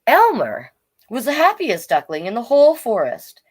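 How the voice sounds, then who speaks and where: Very animated Canadian woman reading to children. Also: on the high side, moderate pace. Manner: playful, expressive, chatty with young listeners. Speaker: drag performer hosting children's storytime. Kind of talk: reading picture books aloud with casual asides.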